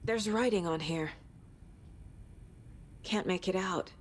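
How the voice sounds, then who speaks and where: A woman playing a composed, analytical FBI agent in a video game, speaking in a measured, matter-of-fact way. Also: fairly low pitch, a little hiss or hum. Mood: focused, pondering. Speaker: investigator.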